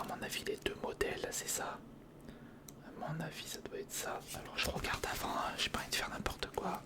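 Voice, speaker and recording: An ASMR creator whispering casual step-by-step commentary on a hands-on build, murmuring directly to the listener. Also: medium pitch.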